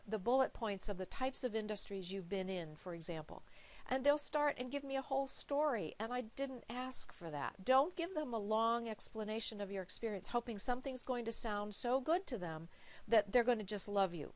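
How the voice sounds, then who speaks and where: A woman coaching viewers with practical advice in an emphatic, encouraging, conversational way. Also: mid-range voice. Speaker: recruiter giving interview coaching.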